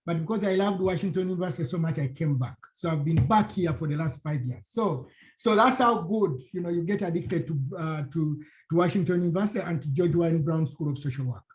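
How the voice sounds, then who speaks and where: Ugandan man, fairly high voice. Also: brisk, a little mellow. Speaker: faculty panelist. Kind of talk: panel discussion, personal introduction.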